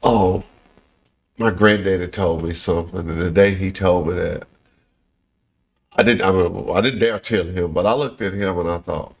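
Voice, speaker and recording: A man's voice: low voice, steady tone, normal speed, vocal fry, pauses often. A middle-aged man from Pensacola hosting a local talk show, telling folksy stories in casual Southern speech. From Pensacola, Florida.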